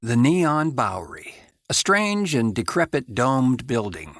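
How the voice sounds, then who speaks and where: An actor playing an edgy sci-fi detective in an audio drama, with a halting, dramatic delivery. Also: male voice, mid-range voice, big pitch swings, fairly bright tone, a little hiss or hum. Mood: ominous. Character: edgy, hesitant male sci-fi police detective. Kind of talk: cinematic audio drama acting.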